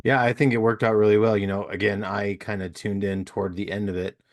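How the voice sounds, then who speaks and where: A man's voice: lowish voice, flat delivery, fairly quick. A man hosting a casual podcast interview, rambling and searching for words with lots of fillers. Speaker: podcast host.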